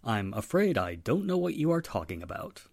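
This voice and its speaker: An actor playing a firm, courteous police inspector with a formal, authoritative manner in 1940s radio drama style. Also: male voice, mid-range voice, very animated, normal speed, vocal fry, darkish tone, breathy edge, no room sound, studio quality, full character voice. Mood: polite, measured.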